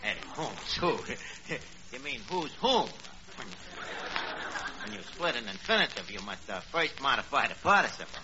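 An actor playing a cocky, blustering tavern manager with a New York accent, mangling big words with pompous confidence in a radio comedy. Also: male voice, mid-range voice, big pitch swings, normal speed, long pauses, natural voice. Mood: self-important. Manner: malapropisms delivered with pompous confidence. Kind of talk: 1940s radio comedy acting. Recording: dull, noisy old radio recording.

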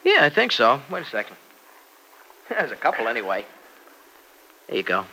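An actor playing an earnest, excitable young husband and devoted son-in-law in an old radio serial. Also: male voice, mid-range voice, normal speed, slight background noise, heavily acted, low-quality recording. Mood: chatty, earnest. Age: young adult. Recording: vintage radio recording.

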